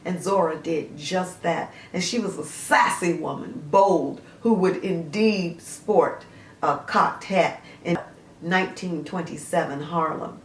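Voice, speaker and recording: A woman's voice: lowish voice, takes it slowly. A woman hosting a book series, gushing about stories and reading excerpts aloud with frequent fillers. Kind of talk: casual book review with read-aloud excerpts. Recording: roomy echo.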